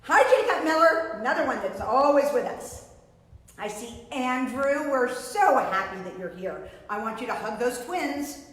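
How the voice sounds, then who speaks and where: A middle-aged woman presents story time for children in a high, theatrical voice. Her delivery swings widely in pitch, full of exclamations, in a very echoey room. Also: lively intonation, measured pace, fairly bright tone. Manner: theatrical, exclaiming, speaks of self in third person. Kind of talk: animated picture-book reading and chat to children. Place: indoor room with painted stage backdrop. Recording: very echoey room with long reverb.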